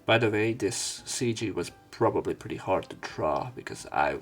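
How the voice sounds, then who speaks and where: Low-voiced man with a gravelly creak. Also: animated, normal speed, faint music behind. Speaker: let's play narrator. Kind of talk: reading a visual novel aloud with casual commentary.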